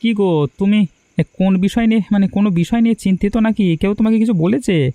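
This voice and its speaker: A man's voice: high-pitched, fast talker, warm tone. A man playing a mild, hardworking village farmer and elder brother in a cartoon, speaking plaintively. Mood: concerned, puzzled. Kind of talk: cartoon dialogue acting. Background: background music.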